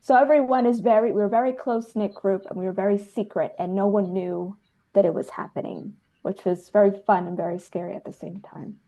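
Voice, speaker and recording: A woman's voice: medium pitch, steady tone, a little fast, highs cut off. A woman, speaking effusively and emphatically as a filmmaker in a casual interview. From New Jersey, United States. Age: 30s. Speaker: filmmaker and dancer being interviewed.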